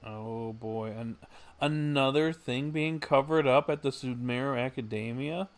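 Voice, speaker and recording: A man's voice: mid-range voice, unhurried. A man livestreaming a game, giving casual commentary and chatting as he plays. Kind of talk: casual live gaming commentary.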